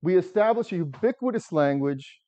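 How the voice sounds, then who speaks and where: A middle-aged man from the United States giving a talk in a lecture room without a microphone, loud and engaging, asking the audience questions. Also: sits high, expressive, normal speed. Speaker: software architect giving a talk. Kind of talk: conference talk to a live audience. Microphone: far camera, no microphone.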